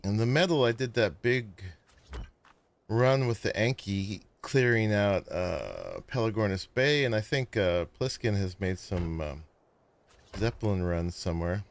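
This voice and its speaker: Sleepy low-voiced man narrating gameplay. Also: a little slow. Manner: relaxed, rambling, talks to viewers while building. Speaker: gaming streamer. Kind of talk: casual gameplay commentary.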